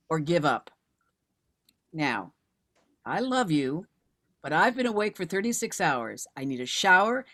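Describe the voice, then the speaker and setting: A woman's voice: mid-range voice, a bit bright. A woman playing a weary but resolute widowed mother turned investigator in a screenplay table read. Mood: weary, brisk.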